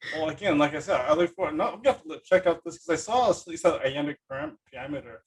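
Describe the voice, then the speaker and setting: A man's voice: a little high, lively intonation, racing. A man hosting a podcast in a casual, enthusiastic and rambling conversational way. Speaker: podcast host. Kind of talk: casual chat.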